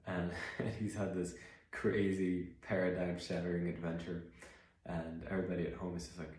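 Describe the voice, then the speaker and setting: Songwriter, low voice, chatty and reflective between songs, heavy room echo. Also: male voice, little pitch movement, moderate pace, darkish tone. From Iceland. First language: Icelandic. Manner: reflective, rambling storytelling with many fillers. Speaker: musician and songwriter. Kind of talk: casual livestream chat between songs. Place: living room. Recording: very echoey room.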